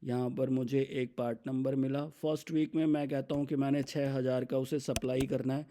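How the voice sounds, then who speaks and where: A man with an Indian accent explains software step by step as an instructor, mixing English business terms into his speech. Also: mid-range voice, level tone, moderate pace. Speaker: software demonstrator.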